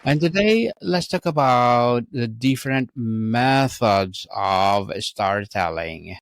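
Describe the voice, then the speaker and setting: A man's voice: medium pitch, lively intonation, slow pace, no room sound. A man speaking as a podcast host, introducing and questioning in an interview style. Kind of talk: interview hosting.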